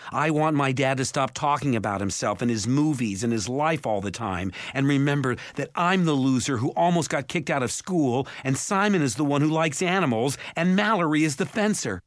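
An earnest young boy in a storybook, acted by a man reading aloud. The voice is lifted higher, strained and urgent, anxious yet defiant. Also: sing-song, normal speed, hardly any pauses, fairly bright tone, no room sound, clean studio sound, full character voice. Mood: hurt defiance. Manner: pleading, strained, urgent outbursts.

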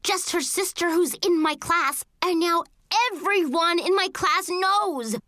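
A woman voicing a hot-tempered schoolgirl, a bossy big sister, yelling accusations in a children's audiobook. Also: very high-pitched, very animated, a little slow, no room sound, studio quality, pitched above the performer's own voice, full character voice. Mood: accusing.

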